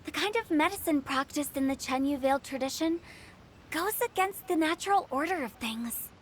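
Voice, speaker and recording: A woman's voice: sits high, animated, normal speed, a little hiss or hum. A woman voicing a playful, mischievous young funeral director in a video game, teasing and spinning old tales. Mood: storytelling. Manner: teasing, theatrical, then storytelling. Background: game music.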